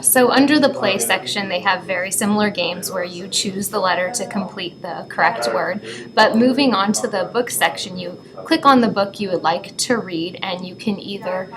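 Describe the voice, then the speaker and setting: A woman's voice: medium pitch, normal speed, hardly any pauses, low-quality recording. A woman giving step-by-step instructions in a walkthrough review of an educational website. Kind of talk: instructional screen-recorded walkthrough. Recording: background chatter behind the voice.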